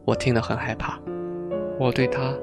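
Actor as earnest, polite gentleman in confessional drama. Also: medium pitch, little pitch movement, moderate pace, over loud music, close to an everyday voice. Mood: earnest confiding. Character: polite, earnest gentleman confiding an awkward romance. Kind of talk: Mandarin audio drama acting.

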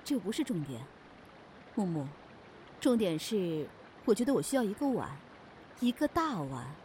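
A woman's voice: mid-range voice, big pitch swings, normal speed, a little mellow, close to an everyday voice. A woman playing a confident young innkeeper in a fantasy audio drama, commanding and teasing. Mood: playful. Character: confident young female demon-inn boss, brisk and playful. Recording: noisy background ambience.

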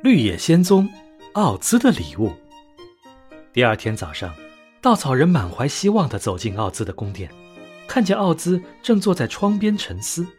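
A man narrating a scripted bedtime story for children in an expressive, clearly phrased way. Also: a little high, normal speed. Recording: soft music under narration.

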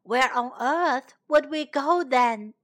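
Woman voicing frightened small animals, high and pleading. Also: slow. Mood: panicked pleading. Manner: pleading, exclamatory. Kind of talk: children's storybook acting.

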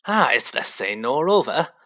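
A man's voice: high voice, wildly swooping pitch, unhurried, a bit bright, pitched above the performer's own voice, heavily acted. A man voicing an oily, servile and sly shopkeeper with an obsequious, deferential manner in a storybook reading. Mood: fawning. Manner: obsequious, eager to please, repeatedly deferential.

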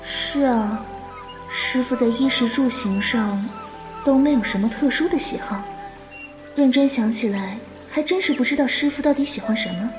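An actress playing a gentle, easily flustered young female disciple in a Chinese wuxia audio drama, with a soft, coaxing manner. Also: fairly high pitch, moderate pace, over music, close to an everyday voice. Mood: gentle, patient.

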